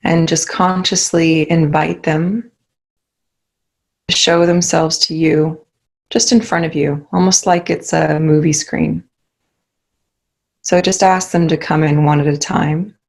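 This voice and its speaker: A woman leading a guided meditation, giving calm, soothing instructions with many pauses. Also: low voice.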